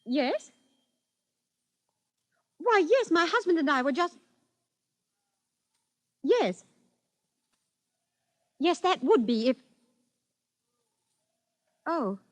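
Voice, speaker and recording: An actress playing a sharp, suspicious wife, insistent and theatrical in 1940s radio drama style. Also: female voice, sits high, no echo, heavily acted. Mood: brisk, puzzled.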